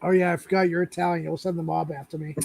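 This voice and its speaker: High-voiced joking male streamer. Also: level tone, quick pace, vocal fry, a little mellow, no room sound. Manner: joking, laughs often, stumbles and restarts. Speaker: streamer host. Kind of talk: casual livestream chat.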